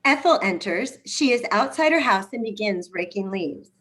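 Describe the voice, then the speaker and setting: A woman's voice: medium pitch, animated, normal speed, fairly bright tone. A woman narrating the stage directions of a play in scripted reading style. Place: video call.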